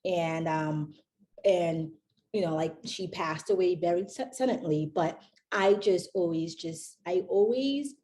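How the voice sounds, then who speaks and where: Low mellow-voiced woman, rambling. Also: measured pace. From Haiti. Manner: rambling with many fillers and restarts. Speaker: podcast guest, company founder. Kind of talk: casual interview chat.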